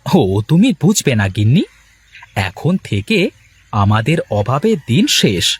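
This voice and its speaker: A man voicing an excited, boastful village fisherman in a cartoon folk tale, coaxing and secretive. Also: medium pitch, measured pace, husky. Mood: reassuring, proud. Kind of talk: cartoon moral-tale dialogue.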